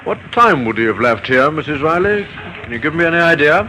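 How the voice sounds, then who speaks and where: An actor playing a courteous, methodical police inspector who questions briskly in a vintage radio drama. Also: male voice, fairly high pitch, expressive, close to an everyday voice. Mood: firm, probing. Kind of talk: 1950s radio drama acting. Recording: old radio recording with faint noise.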